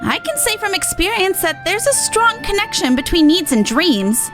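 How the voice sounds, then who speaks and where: High-pitched, impatient pixie voiced by a woman. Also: sing-song, over music. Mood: musing. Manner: blunt, dismissive, quick to scold. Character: small, impatient, scolding pixie. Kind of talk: video game quest dialogue read aloud.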